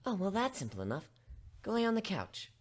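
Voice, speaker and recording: A woman's voice: medium pitch, huge pitch swings, a little fast, pitched above the performer's own voice. A woman voicing a brainy female magical pony, plain and explanatory, in a dramatic story reading. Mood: matter-of-fact. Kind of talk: fan fiction dramatic reading.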